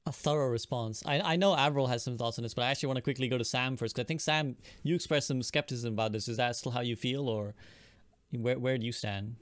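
Brisk man moderating a panel. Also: medium pitch, dry recording, clean studio sound.

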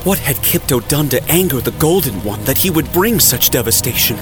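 A man's voice: medium pitch, animated, a little fast. Male dramatic narrator over prominent music. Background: music and sound effects.

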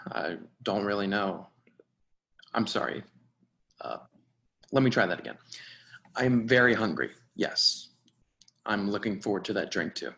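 A man's voice: on the low side, lively intonation, unhurried, creaky, pauses often, bright, forceful tone, exaggerated voice. A man playing a nervous, courteous professional in a screenplay reading, guarded and hesitant in how he speaks. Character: Daniel, nervous, polite, reserved male professional. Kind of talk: dramatic screenplay table read.

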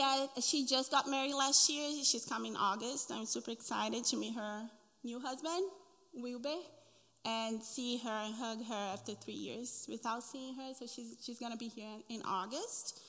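A woman's voice: fairly high pitch, sharp tone. A woman in her thirties with a Brazilian Portuguese accent, sharing an earnest personal testimony at a church women's breakfast, with frequent ums and restarts. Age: 30s. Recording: long reverb of a hall.

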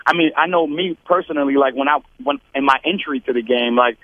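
A man's voice: mid-range voice, quick pace, dry recording. Man on a tinny phone line. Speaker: guest rapper calling in. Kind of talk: casual phone-in interview.

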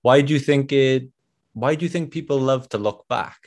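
A young man with a Welsh accent hosting a podcast, asking questions in a relaxed, conversational way. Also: mid-range voice, moderate pace. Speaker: podcast host. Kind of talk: casual interview questions.

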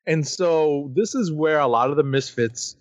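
A man's voice: fairly high pitch, unhurried, dry recording, muffled recording. A man co-hosting a comic book podcast, running through new issues and their credits in a casual chatty way. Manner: lively, reads credits then chats. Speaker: podcast co-host.

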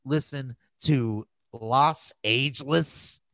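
A man's voice: mid-range voice, extremely slow, fairly bright tone, no room sound. A man hosting a casual podcast, ranting in an exasperated, opinionated and profane way. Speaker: podcast host.

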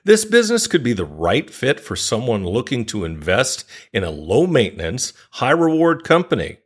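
A man's voice: medium pitch, wildly swooping pitch, normal speed, breathy edge. A man hosting a podcast, reading scripted promos and introductions and putting questions to a guest. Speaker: podcast host.

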